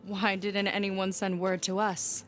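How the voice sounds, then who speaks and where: A woman's voice: mid-range voice, little pitch movement, unhurried, a bit bright, natural voice. A woman playing a caring, concerned young companion in a naturalistic video game cutscene. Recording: music clearly behind the voice.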